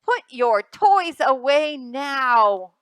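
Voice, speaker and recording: A woman voicing an exasperated mother of a naughty little boy in a picture book, with emphatic, drawn-out words. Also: sits high, sing-song, fairly bright tone, smooth, no echo, clean studio sound, full character voice. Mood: scolding.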